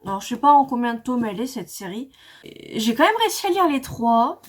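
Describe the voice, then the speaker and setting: A woman's voice: fairly high pitch, big pitch swings, fairly quick. A woman reviewing her manga in a casual, hesitant way, with many fillers and restarts. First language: not English. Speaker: manga vlogger. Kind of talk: casual book review chat.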